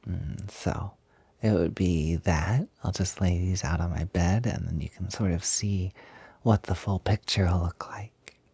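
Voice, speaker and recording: A young man with a low, mellow voice plays an eager, cutesy roommate in an intimate roleplay. He talks slowly and hesitantly, coaxing and chatty, as if to one listener close by. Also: fairly even intonation, gravelly, slightly rough, no echo. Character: playful, eager, cute-loving feminine male roommate. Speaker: audio roleplay performer. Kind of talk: intimate improvised-sounding audio roleplay, one-sided conversation.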